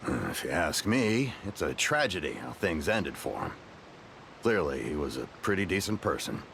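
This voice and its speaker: Polite townsman, low voice, wildly swinging intonation, opinionated, video game dialogue. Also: sing-song, normal speed, vocal fry, fairly bright tone, a little hiss or hum, exaggerated voice. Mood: regretful. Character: polite local townsman, opinionated gossip, expressive. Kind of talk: anime video game voice acting.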